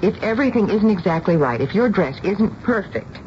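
An actress playing a kindly but firm middle-aged mother in a radio drama, speaking in an earnest, soothing, measured way. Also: mid-range voice, lively intonation, close to an everyday voice. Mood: earnest, placating. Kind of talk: radio drama acting and first-person narration. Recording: dull, noisy old radio recording.

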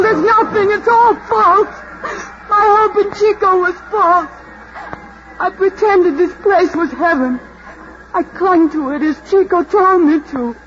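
A woman's voice: extremely high pitch, fairly bright tone, a little hiss or hum, full character voice. An actress playing an anguished young woman, disillusioned and yearning, in breathless, emotive 1940s radio drama style. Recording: dull vintage radio recording with music.

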